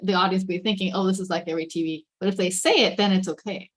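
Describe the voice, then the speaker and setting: A woman's voice: fairly low pitch, fairly even intonation, rapid-fire. A woman talking casually in a group discussion, explaining her observations in a conversational way.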